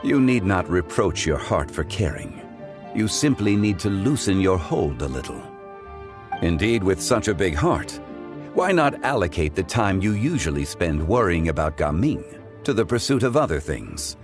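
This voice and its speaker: An actor playing a calm, wise gentleman in a video game, speaking formally and with measured courtesy. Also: low-pitched, over music. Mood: gentle, philosophical.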